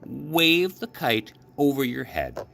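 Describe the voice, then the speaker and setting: A man's voice: medium pitch, wildly swooping pitch, slow, fairly bright tone, voice pushed up, full character voice. A man voicing an eager, encouraging frog in a storybook, upbeat and coaxing. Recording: clearly noisy background.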